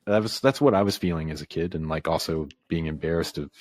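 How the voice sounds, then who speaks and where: A man with a very low voice, speaking as a guest on a podcast. He grew up in the American South and talks in a halting, reflective way, full of "like" and "um". The sound is dry and close, with no room around it. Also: a little mellow, dry recording. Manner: reflective, halting, filler-heavy. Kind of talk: casual conversation.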